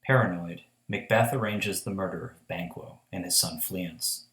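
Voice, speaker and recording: A man's voice: low-pitched, normal speed, background noise. A young man giving scripted narration for a radio play. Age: young adult. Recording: echoey room.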